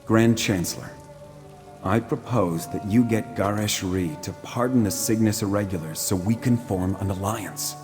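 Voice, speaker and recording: An actor playing a pragmatic, persuasive military commander in a video game, speaking in a firm, formal and measured way. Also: male voice, fairly low pitch, over music, close to an everyday voice. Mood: earnest, firm. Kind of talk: video game dialogue acting.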